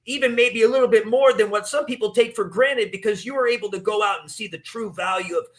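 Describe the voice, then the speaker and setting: A man's voice: sits high, quick pace, fairly bright tone. A man hosting a casual interview, talking in long rambling turns full of restarts and fillers. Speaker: podcast host. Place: in Italy.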